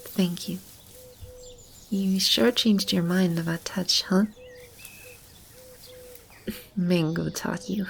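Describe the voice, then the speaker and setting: A gentle female demon, acted by a woman, speaks in a lowish, creaky voice with a tender, reassuring manner. It is an intimate, soft-spoken ASMR roleplay, with animal and bird ambience behind her. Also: slight background noise. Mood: moved, happy. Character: gentle, caring female demon sheltering animals and a lost child. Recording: clearly audible ambient animal and bird sounds.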